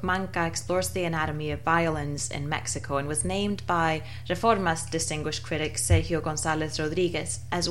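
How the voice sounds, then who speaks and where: A low-pitched female host introduces her guests and asks them questions in an easy conversational manner. Faint room ambience sits underneath. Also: slight background noise. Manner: warm, enthusiastic introductions. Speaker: podcast host. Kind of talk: scripted intro and interview questions.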